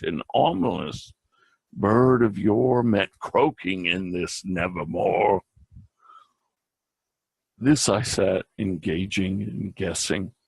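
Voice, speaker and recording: Slow, gravelly man reciting dark verse. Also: low-pitched, no echo, rough recording. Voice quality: gravelly, husky. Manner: slow, theatrical, with drawn-out pauses and emphatic repeats. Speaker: amateur reader of a classic poem. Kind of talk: dramatic poetry recitation.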